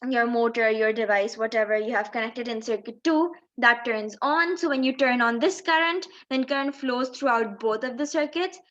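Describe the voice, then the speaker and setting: A woman's voice: a little high, normal speed, few pauses, fairly bright tone. A woman teaching in an explanatory tutorial style, going step by step and posing questions as she explains. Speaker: physics teacher. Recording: dull lo-fi microphone sound.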